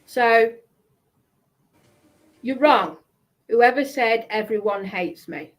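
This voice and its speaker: Slow-talking woman, accusatory livestream rant. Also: fairly high pitch, expressive. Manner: accusatory, emphatic, repetitive. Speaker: live streamer. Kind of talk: confrontational livestream monologue.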